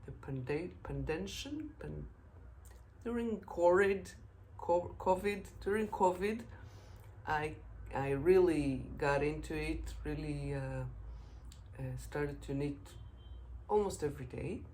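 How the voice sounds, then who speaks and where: A middle-aged Israeli woman with a low voice talks slowly through her knitting podcast. Her English halts on "uh" and "um" as she hunts for words. A faint hum sits behind her. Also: a little mellow. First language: Hebrew. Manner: halting, frequent fillers, searching for English words. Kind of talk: casual knitting podcast monologue.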